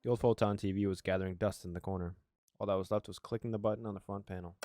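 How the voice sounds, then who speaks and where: A man's voice: on the low side, fairly even intonation, very fast, warm tone, a little hiss or hum. A man doing a casual let's play, reading narration aloud and commenting on it, sometimes tripping over his words. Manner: stumbles over words while reading, self-correcting.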